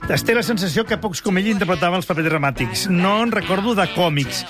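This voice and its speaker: A man hosting a radio show, chatting in a lively way and reeling off lists. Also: sits high, a little fast, music in the background. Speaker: radio host. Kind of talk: radio chat.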